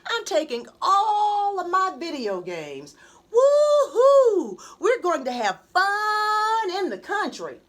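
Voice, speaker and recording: A woman's voice: very high-pitched, wildly swooping pitch, takes it slowly, pitched above the performer's own voice, full character voice. A woman voicing an eager, boastful young boy in a children's storybook, exclaiming like a know-it-all. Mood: excited, impatient.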